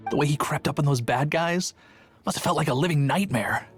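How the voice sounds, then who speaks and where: A man's voice: fairly high pitch, brisk, faint music behind, natural voice. An actor playing a chatty, earnest young male town guard telling his tale in a video game. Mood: dramatic, earnest. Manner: storytelling, earnest.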